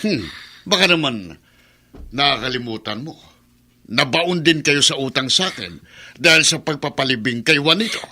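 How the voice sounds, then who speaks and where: A man's voice: mid-range voice, expressive, moderate pace, long pauses, bright, forceful tone. A man playing a greedy, bullying brother-in-law in a radio drama, domineering and threatening. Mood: overbearing.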